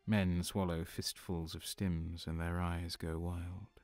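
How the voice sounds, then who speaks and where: A man's voice: sits low, level tone, slow, a little mellow, no echo, faint music behind. A man playing a hard-bitten, ruthless renegade soldier, narrating his war story intensely in the first person. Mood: grim. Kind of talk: first-person dramatic narration, audio drama.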